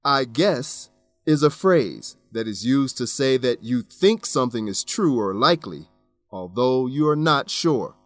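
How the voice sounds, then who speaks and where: Man, mid-pitched voice, scripted lesson narration, measured, instructive, clear enunciation, very dry recording. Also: animated, no room sound, faint music behind. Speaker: host and English teacher.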